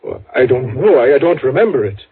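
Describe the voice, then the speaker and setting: An actor playing an earnest, formal gentleman in a 1950s radio drama, with an agitated, emphatic way of speaking. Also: medium pitch, sing-song, fairly quick, slightly rough, exaggerated voice. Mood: anxious. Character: earnest, anxious, formal gentleman twin brother. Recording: tinny, noisy old radio recording.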